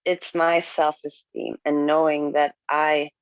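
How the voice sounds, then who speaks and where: A woman's voice: sits low, level tone, no echo. Woman chatting openly, lots of restarts, kinda muffled dead-dry sound. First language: Swedish. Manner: candid, halting with frequent restarts and laughs. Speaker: guest. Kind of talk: casual video-call conversation.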